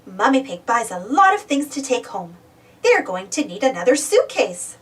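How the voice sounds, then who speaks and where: A woman reading a children's storybook aloud in an animated, expressive way. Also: fairly high pitch, normal speed, far from the mic. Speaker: storybook reader, channel host. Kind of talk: children's read-aloud narration.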